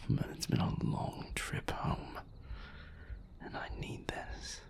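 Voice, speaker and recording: A man playing a seductive, hungry vampire in an intimate audio roleplay, courteous and deliberate, with a breathy, gravelly voice. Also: whispered, close to an everyday voice. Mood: restrained, needy. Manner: slow, deliberate, courtly endearments.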